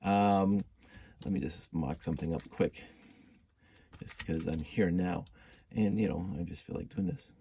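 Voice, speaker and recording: A man's voice: low voice, unhurried, creaky, long pauses, dark tone, a little hiss or hum. A man with a stuffy cold, thinking aloud while he builds, rambling and humming as he works. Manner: rambling, self-deprecating, hums and mutters while working. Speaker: building hobbyist vlogger.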